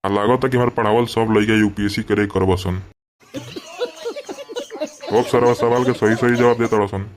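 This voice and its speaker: A man's voice: on the low side, fairly even intonation, normal speed, long pauses, close to an everyday voice. A man voicing an exasperated, coarse, teasing village schoolteacher with a Bhojpuri accent in a cartoon comedy. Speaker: voice actor.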